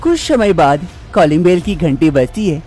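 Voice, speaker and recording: A woman narrating a cartoon story in an even, steady manner. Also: fairly low pitch, normal speed, over loud music.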